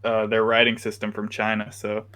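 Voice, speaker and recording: Easygoing young man, halting casual talk. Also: fairly low pitch, monotone, a bit bright. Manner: easygoing, hesitant, lots of fillers. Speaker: podcast co-host. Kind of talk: casual chat.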